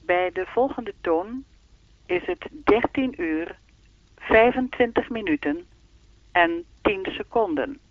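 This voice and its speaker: Slow, tinny telephone speaking-clock woman. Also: medium pitch, dry recording. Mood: formal, automatic. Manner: measured, formal, deadpan. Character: lonely, evasive female speaking-clock voice with a will of its own. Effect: telephone filter. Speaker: telephone time announcer. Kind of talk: radio play acting, formulaic announcement turning to dialogue. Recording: narrow, tinny phone-line sound.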